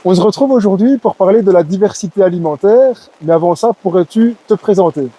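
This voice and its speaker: A man's voice: high-pitched, a little fast, dark tone, husky. A man hosting an interview, asking his guest prepared questions. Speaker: host, nursery owner.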